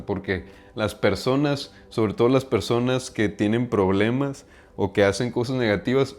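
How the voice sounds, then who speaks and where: A man from Mexico with a Mexican accent, talking casually to his viewers about self-improvement, often tagging his sentences with a questioning "right?" and using slang. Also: on the low side. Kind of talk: casual monologue to camera.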